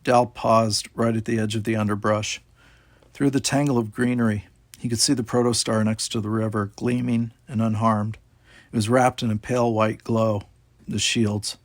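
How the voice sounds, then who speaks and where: A man's voice: lowish voice, flat delivery, moderate pace, no room sound. A man reading a children's adventure story aloud as scripted narration. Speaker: audiobook reader.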